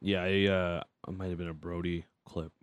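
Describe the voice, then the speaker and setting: Wry low-voiced male radio co-host. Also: level tone, normal speed, clear, resonant tone, no room sound. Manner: wry, laid-back. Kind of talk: casual radio banter. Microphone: studio mic.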